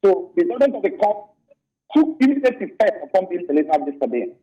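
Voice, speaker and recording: Dark-voiced lawyer on a muffled line. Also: male voice, high-pitched, big pitch swings. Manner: halting, hesitant with many fillers. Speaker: defense lawyer. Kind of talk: live news interview. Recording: distant, muffled lo-fi remote line.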